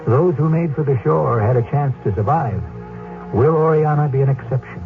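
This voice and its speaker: A man hosting and narrating a radio drama in a measured, grave storytelling manner. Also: a little high, gravelly. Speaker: host and narrator. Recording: tinny old radio broadcast with music.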